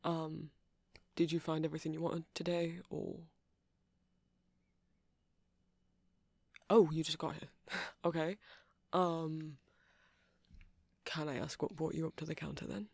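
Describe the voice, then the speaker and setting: A man's voice: on the high side, level tone, normal speed, gravelly, dry recording. A man playing an awkward, rambling vampire music shop owner who stammers and hesitates in a casual ASMR roleplay. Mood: flustered, apologetic. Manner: hesitant, stammering, apologetic, nervously chuckling.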